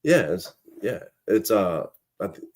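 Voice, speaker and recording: A man's voice: low-pitched, sing-song, a little slow, crowd noise. A man in casual conversation, explaining things in a plain, matter-of-fact way. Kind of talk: casual chat. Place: studio livestream.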